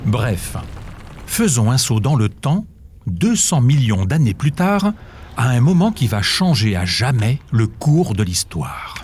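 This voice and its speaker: Male actor as theatrical history-teacher narrator, mid-range voice, highly animated, wry and grandiose, audio drama. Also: measured pace, a little hiss or hum. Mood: wry, lively.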